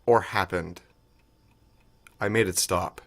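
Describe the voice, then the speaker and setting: An actor playing an earnest, gentle young soldier and lover in a naturalistic audio drama, halting, tentative and apologetic. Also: male voice, deep voice, fairly even intonation, full character voice. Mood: quietly earnest.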